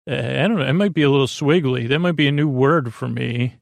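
Male sleep podcast host, gravelly creak, close dry recording, halting whimsical meandering monologue. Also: medium pitch, no echo. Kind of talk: rambling bedtime podcast monologue.

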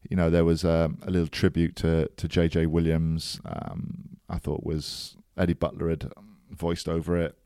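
A man's voice: low voice, flat delivery, normal speed, hardly any pauses, mellow, clean tone, dry recording, studio quality. A man co-hosting a casual sports podcast, rambling with frequent ums and getting enthusiastic about rugby. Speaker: podcast co-host.

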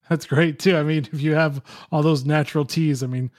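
A man's voice: fairly high pitch, steady tone, moderate pace, no echo, clean studio sound. A man hosting a podcast, speaking in a conversational interview style. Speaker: podcast host.

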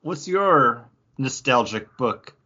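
A man's voice: medium pitch, expressive, slow, bright, forceful tone, slightly rough. A man in his forties co-hosting a podcast, chatting casually with frequent laughs and lots of filler words. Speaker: podcast co-host. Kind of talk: casual chat. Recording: slightly dull lo-fi audio.

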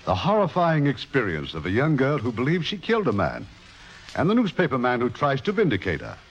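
A man's voice: mid-range voice, wildly swooping pitch, brisk. A man hosting a 1950s radio show with scripted banter and a showmanlike, theatrical delivery. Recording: dull old radio recording.

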